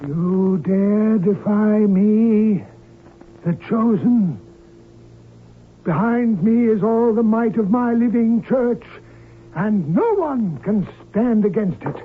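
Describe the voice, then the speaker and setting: Fanatical cult reverend for radio play: high, deliberate, pompous delivery, tinny old recording. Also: male voice. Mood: righteous fury. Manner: pompous, preaching, biblical diction. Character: fanatical cult leader, a reverend full of righteous menace. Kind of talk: old-time radio drama acting. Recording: tinny band-limited old radio recording, faint noise.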